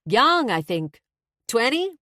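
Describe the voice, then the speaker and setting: An easygoing young man from a novel, voiced by a woman narrator. He talks casually and jokingly, a little lifted in pitch, in a dry, close recording. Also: big pitch swings, slow pace, bright, forceful tone, no room sound, full character voice. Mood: offhand. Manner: casual, conversational.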